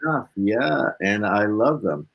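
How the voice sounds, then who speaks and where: A man's voice: lowish voice, expressive, measured pace, gravelly, highs cut off. A man, chatting informally as an interview guest and rambling through his stories. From Canada. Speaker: guest, blues musician. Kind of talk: casual interview chat.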